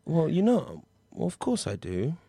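A dry little comedy studio where a sheltered lad played by a grown man haltingly reasons with his dad at the kitchen table. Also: on the high side, huge pitch swings, dark tone, husky, no echo, heavily acted. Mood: hesitant, pleading. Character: earnest, sheltered nine-year-old boy pleading with his overprotective father. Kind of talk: improvised comedy role-play.

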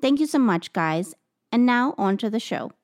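A woman's voice: fairly high pitch, expressive, normal speed, no room sound, clean studio sound. A woman hosting a true crime podcast, talking to listeners and narrating. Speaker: podcast host.

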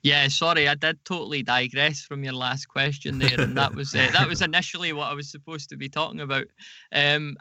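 A man's voice: mid-range voice, pushed and bright, dry recording. A man speaking as a podcast guest in an interview, explaining things conversationally, often repeating words and correcting himself.

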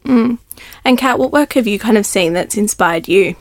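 A woman hosting a podcast interview, friendly and quick to laugh between questions. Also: mid-range voice, dry recording. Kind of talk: casual interview chat.

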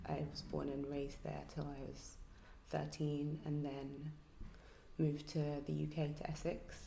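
A hairstylist and artist from Nigeria, speaking quietly and reflectively in a casual interview, halting with ums. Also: female voice, deep voice, monotone, gravelly. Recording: echoey room with faint noise.

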